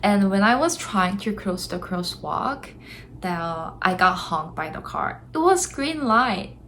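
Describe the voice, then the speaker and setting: A woman's voice: medium pitch, animated, slow pace, a bit bright, a little hiss or hum. A young Korean woman with a Korean accent, speaking English as a second language in a chatty, earnest vlog to camera. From South Korea. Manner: chatty, earnest, laughs between thoughts. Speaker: vlogger. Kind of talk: casual vlog talk to camera.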